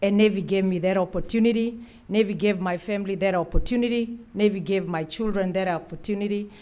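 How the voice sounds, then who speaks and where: A woman's voice: medium pitch, fairly quick, mellow. A middle-aged woman from Kenya, a Navy chief speaking earnestly and gratefully at a ceremony, then calling out names. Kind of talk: ceremony speech.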